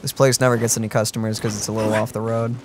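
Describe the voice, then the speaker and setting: A man's voice: fairly low pitch, little pitch movement, very fast, slight background noise. A young adult man giving playful, casual commentary as a gaming video host. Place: indoor room.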